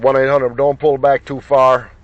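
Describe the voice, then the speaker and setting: A man's voice: medium pitch, level tone, normal speed, no echo. A man making CB radio contacts, repeating names and call signs in chatty operator patter. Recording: dull, muffled lo-fi sound.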